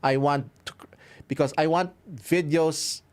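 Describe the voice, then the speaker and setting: A man's voice: a little high, steady tone, unhurried, darkish tone. A man from the Philippines with a Filipino accent, chatting casually to his livestream audience as he wraps up, upbeat and chuckling often. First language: Filipino language. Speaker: streamer and violinist. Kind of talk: casual livestream sign-off to chat.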